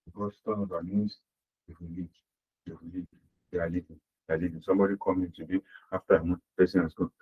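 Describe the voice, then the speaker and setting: A deep-voiced man with a husky edge teaches accounting over an online call. He repeats his points and asks the class rhetorical questions. The sound is dull and lo-fi. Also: little pitch movement, quick pace. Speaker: accounting lecturer. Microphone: online class audio.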